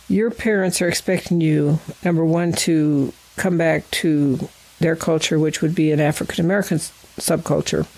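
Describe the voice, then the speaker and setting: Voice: mid-range voice, vocal fry, a little mellow, low-quality recording. An interviewer who grew up in Nigeria, asking thoughtful, probing questions in a conversation.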